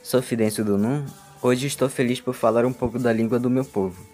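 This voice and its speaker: A man's voice: medium pitch, fairly even intonation, a little fast, warm tone, soft background music. A young man from Amazonas, Brazil, whose first language is Matsés, earnestly reading a personal statement as a university student.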